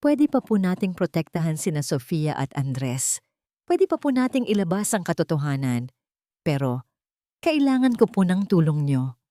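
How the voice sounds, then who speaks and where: A woman's voice: fairly low pitch, sing-song, moderate pace, mellow, no room sound, studio quality. A woman voicing a respectful young housemaid in an audio drama, pleading, urgent and determined.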